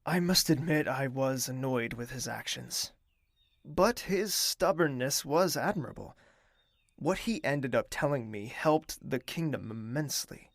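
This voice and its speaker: An actor playing a young male prince who is thoughtful and reserved, speaking in a measured, formal and courteous way. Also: mid-range voice, lively intonation, gravelly, no echo, studio quality. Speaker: narrator and lead character. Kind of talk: audio drama acting.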